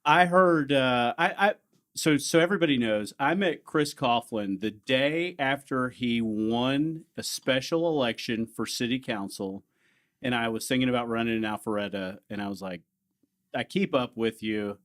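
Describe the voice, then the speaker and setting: A man's voice: mid-range voice, normal speed, fairly bright tone. A man hosting a podcast, chatting casually and rambling with restarts as he brings on a guest. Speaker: podcast host. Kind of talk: casual interview chat.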